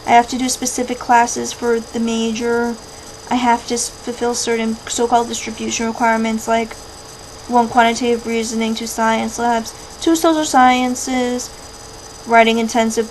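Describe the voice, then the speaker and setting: High-voiced young American woman, creaky, noisy recording. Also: a little mellow. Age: 20s. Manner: rambling, self-interrupting asides. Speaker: vlogger answering viewer questions. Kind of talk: casual chat to camera. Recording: clearly noisy background hiss and ambience.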